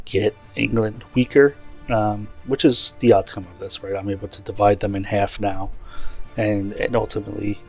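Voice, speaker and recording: Male gameplay commentator, low flat delivery, rambling and explanatory, faint music underneath. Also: slightly rough. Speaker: video game commentator. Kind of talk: casual gameplay commentary recap. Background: faint background music.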